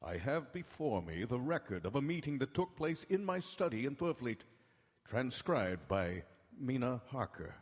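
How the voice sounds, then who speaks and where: Grave doctor narrating, in a reverberant hall. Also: male voice, medium pitch, sing-song, slightly rough. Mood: formal, measured. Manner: measured, dramatic, deliberate phrasing. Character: grave doctor recounting vampire horrors from his journal. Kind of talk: live radio drama narration in 1930s-40s manner. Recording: live stage broadcast in reverberant hall.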